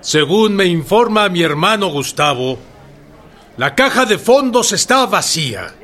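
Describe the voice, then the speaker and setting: An actor playing an earnest revolutionary leader addressing his troops in a deliberate, declamatory radio drama style. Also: high-pitched, very animated, heavily acted. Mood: solemn, urgent. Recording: loud crowd behind the voice.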